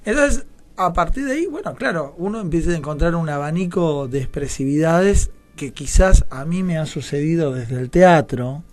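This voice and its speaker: Argentine guy, kinda higher voice, theatre director rambling thoughtfully in a radio interview, lots of little tag questions. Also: animated, moderate pace, darkish tone. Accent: Argentine, Rioplatense. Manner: digressive, often seeks agreement with tag questions. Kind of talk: reflective radio interview talk.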